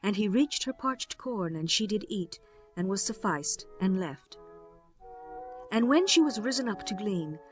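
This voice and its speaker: A woman's voice: mid-range voice, expressive, a little slow, faint music behind. A woman narrator reading a scripted scripture audiobook.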